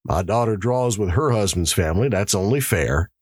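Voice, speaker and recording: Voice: low voice, expressive, moderate pace, a little mellow, slightly rough, no room sound, studio quality. A narrator playing a subdued small-town husband who speaks tersely and with regret. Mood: resigned.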